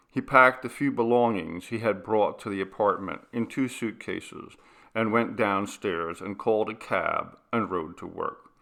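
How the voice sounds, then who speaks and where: A man narrating a horror story as an audiobook, with dramatic, deliberate and suspenseful phrasing. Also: on the low side, creaky, bright, forceful tone.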